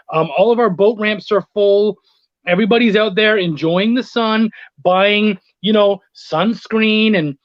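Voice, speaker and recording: A middle-aged man telling anecdotes in a casual interview, blunt and quick to swear. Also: high-pitched, no echo, muffled recording. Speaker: interview guest, freelance writer.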